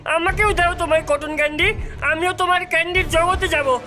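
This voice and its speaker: A woman's voice: very high-pitched, fairly even intonation, fairly quick, pushed and bright, soft background music. A woman voicing a brave, earnest young boy in a cartoon, hesitant but determined. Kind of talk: cartoon acting.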